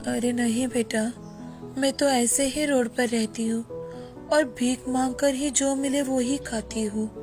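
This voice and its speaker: Woman doing old homeless beggar lady, humble, fairly high voice, music in back. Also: fairly even intonation, measured pace, darkish tone, natural voice. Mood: resigned. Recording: music playing behind the voice.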